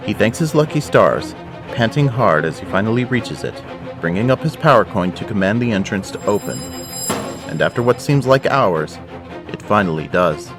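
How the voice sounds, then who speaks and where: A man's voice: on the low side, sing-song, normal speed. A man giving scripted, dramatic narration for an action audio drama. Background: prominent music.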